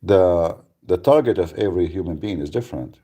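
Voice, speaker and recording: A man's voice: low voice, lively intonation, fairly quick, dark tone, husky. A man hosting a live radio talk, speaking bluntly and emphatically, with frequent repeats and restarts. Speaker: radio show host.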